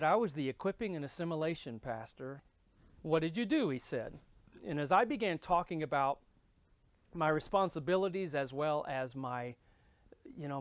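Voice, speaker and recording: Minister in his 50s, male, slightly high voice, earnest storyteller, close dry studio sound. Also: no room sound. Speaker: minister and church leadership speaker. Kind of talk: interview-style storytelling and explaining. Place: indoor studio with dark backdrop. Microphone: lapel.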